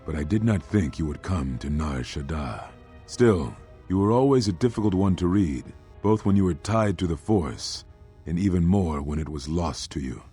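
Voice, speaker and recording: An actor playing a grave, knowing older Jedi master in a video game, speaking in a measured, solemn, mellow voice. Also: male voice, animated, breathy edge, faint music behind. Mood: grave, reflective. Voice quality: very deep, mellow.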